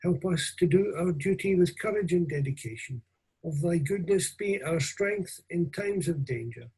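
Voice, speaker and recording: Older man praying, very husky voice. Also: on the high side, lively intonation, darkish tone, poor audio. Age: 60s or older. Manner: solemn, reverent, deliberate. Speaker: minister leading prayers. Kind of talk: formal prayer. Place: small room at home. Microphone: video call or webcam at desk distance.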